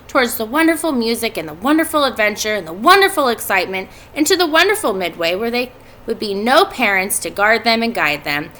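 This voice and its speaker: A woman in her thirties, a teacher reading a storybook aloud to pupils, expressive and clearly phrased. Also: on the high side, rough recording. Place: indoors at home by a fireplace.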